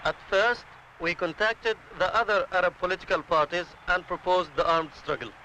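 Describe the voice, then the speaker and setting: High, bright-voiced man on old film. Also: normal speed. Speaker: Palestinian militant narrator. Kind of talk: scripted first-person political testimony. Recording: noisy 1970s documentary film soundtrack.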